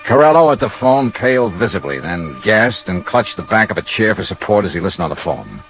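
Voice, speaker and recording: An American man playing a brisk, skeptical insurance investigator who narrates his own case in a 1950s radio detective drama. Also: lowish voice, big pitch swings, faint music behind. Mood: tense. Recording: dull vintage radio recording.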